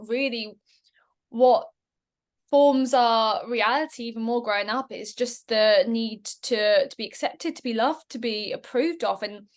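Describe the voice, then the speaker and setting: A woman co-hosting a podcast, talking in an encouraging way and often closing with affirming questions. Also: on the high side. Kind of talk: casual conversational podcast.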